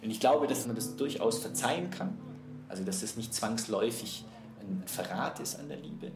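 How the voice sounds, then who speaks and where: Man thinking out loud, medium pitch, echoey room, guitar music behind. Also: big pitch swings, moderate pace. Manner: thoughtful, searching for words. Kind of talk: spontaneous reflective talk. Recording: roomy, echoing interview recording.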